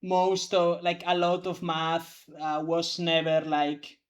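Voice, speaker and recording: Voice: mid-range voice, little pitch movement, slow pace. Young law student rambling in casual chat, tons of "like" and "i mean". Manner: rambling, hedging with many fillers. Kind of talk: casual chat among players.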